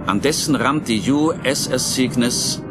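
A man's voice: mid-range voice, a little slow, very noisy, natural voice. A man giving dramatic scripted narration as the storyteller of a German radio play. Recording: music bed under the narration.

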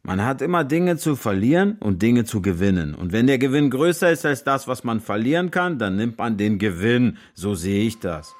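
An actor in a radio drama playing a smug Syrian army officer who talks in a condescending, mock-friendly, persuasive way. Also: male voice, medium pitch, lively intonation, normal speed, few pauses, close to an everyday voice. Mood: smooth, self-justifying. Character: Syrian army officer, smug, calculating, coolly menacing blackmailer. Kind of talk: radio drama acting, naturalistic dialogue.

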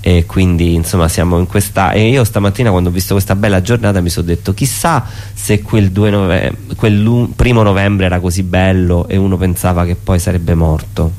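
A man being interviewed as a theatre performer, speaking spontaneously and haltingly, often correcting himself as he thinks aloud. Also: deep voice, fairly even intonation, a little mellow.

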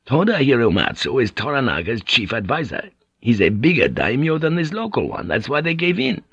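A man's voice: mid-range voice, huge pitch swings, fairly quick, fairly bright tone, husky, dry recording, muffled recording, pitched above the performer's own voice, exaggerated voice. A man voicing a brash, swaggering Portuguese ship's pilot in an audiobook, cocky, bluffing and coarse-mouthed. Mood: worldly, teasing.

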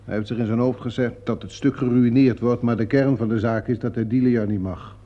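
A male actor as a brusque theatre troupe leader in a radio drama. He speaks emphatically and with self-assurance in a medium-pitched voice. The recording is dull and lo-fi. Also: steady tone, fairly quick, close to an everyday voice. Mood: curt. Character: curt, assertive man in charge of a theatre troupe. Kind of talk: radio play acting in Dutch.

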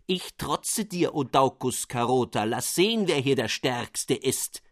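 A man voicing a small, boastful radish duke who speaks with slow defiance. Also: medium pitch, lively intonation, dry recording, studio quality. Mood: defiant. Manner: defiant, deliberate.

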